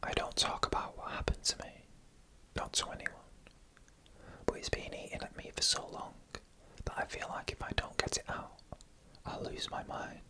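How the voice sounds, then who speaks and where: An ASMR narrator telling scary stories in a breathy, unvoiced whisper, with a hushed and suspenseful delivery. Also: normal speed, long pauses, rough recording. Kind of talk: whispered ASMR horror storytelling, scripted narration.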